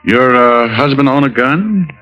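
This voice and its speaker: An actor playing a cool, sardonic private detective in an old radio noir drama, smooth-talking with wry deadpan quips. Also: male voice, mid-range voice, a little slow. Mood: businesslike. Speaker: lead actor and first-person narrator. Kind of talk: 1940s-50s radio noir acting. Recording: dull vintage radio recording, music behind.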